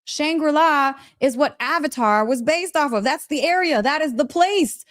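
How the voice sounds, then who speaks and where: A woman's voice: sits high, lively intonation, normal speed. A woman hosting a casual motivational storytelling live stream, speaking in a gushing, emphatic, chatty way, repeating phrases and addressing listeners directly. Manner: chatty, direct address with frequent check-in questions. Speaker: host of a live story session, spiritual teacher.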